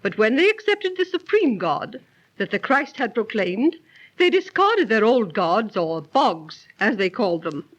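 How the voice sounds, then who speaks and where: An actress playing a proper, precise, genteel aunt who scolds gently and tells tales, 1930s radio drama style. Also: female voice, fairly high pitch, sing-song, measured pace. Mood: storytelling. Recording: dull, lo-fi 1930s radio recording.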